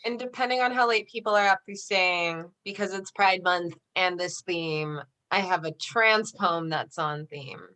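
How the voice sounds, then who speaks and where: A woman's voice: on the low side, a little slow, hardly any pauses, sharp tone, poor audio. A woman poet talking casually and informally at a poetry gathering, with some swearing. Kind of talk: casual chat.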